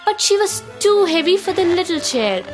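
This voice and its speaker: A woman narrating a scripted children's fairy tale as a storyteller, in a deliberate, expressive way. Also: extremely high pitch, music in the background.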